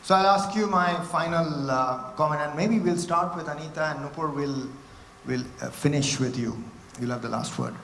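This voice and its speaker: A man's voice: on the high side, moderate pace, creaky, a bit bright. A man moderating a conference panel, talking conversationally with frequent restarts and fillers. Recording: echoey hall with faint noise.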